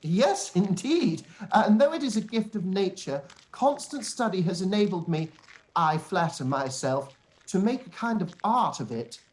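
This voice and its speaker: A woman performs an obsequious male clergyman for a period radio play. The delivery is halting, pompous and formal, with very lively intonation. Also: mid-range voice, natural voice, low-quality recording. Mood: self-satisfied. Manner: halting, self-satisfied, formal.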